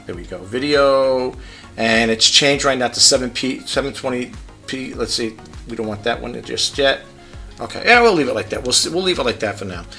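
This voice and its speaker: A man talks casually through a gadget demo at his home desk, rambling in a low-key, near-monotone way. His voice has a bright, forward edge, and soft music sits faintly under it. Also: moderate pace. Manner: chatty, rambling, demonstrating gadgets. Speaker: YouTube vlogger. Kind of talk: casual tech demo vlog.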